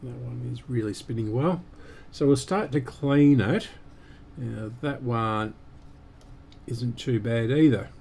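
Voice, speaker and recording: A man with a mellow, mid-pitched voice hosts a hands-on repair stream. He speaks slowly and haltingly, half muttering to himself as he works. There is faint noise in the background. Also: vocal fry. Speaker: host of an electronics repair stream. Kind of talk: unscripted workbench commentary while working.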